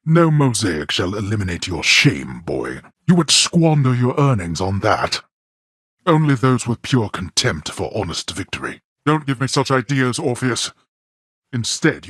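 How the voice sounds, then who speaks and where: A deep, heavily rasping old ruler of the dead for a video game. He is imperious and scornful, speaking in stately archaic phrases with big swings of pitch, like a weary, stern father passing judgment. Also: sing-song, measured pace, fairly bright tone, no echo, studio quality, exaggerated voice. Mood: scornful, irritated. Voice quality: gravelly, husky. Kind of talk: video game character acting.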